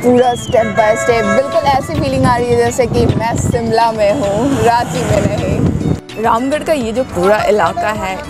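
A woman's voice: fairly high pitch, a little slow. A young woman narrating a casual travel vlog, chattily pointing out the sights to her viewers, filmed on a phone held at arm's length. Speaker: travel vlogger. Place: inside a moving bus, later on a roadside outdoors. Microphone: phone at arm's length with wired earphone mic. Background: loud background music.

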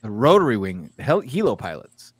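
A middle-aged American man hosting a casual podcast, swearing freely and often halting mid-sentence. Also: fairly low pitch, wildly swooping pitch, slightly rough, no echo. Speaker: podcast host. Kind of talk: casual chat. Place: small room at home, on a video call.

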